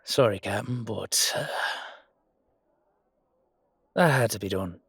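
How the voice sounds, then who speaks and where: A man with an Irish accent playing a hot-headed, loyal knight in a roleplay audio drama, gruff and colloquial with a wry streak. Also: on the low side, takes it slowly, a bit bright, dry recording, studio quality, exaggerated voice. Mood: angry, defiant.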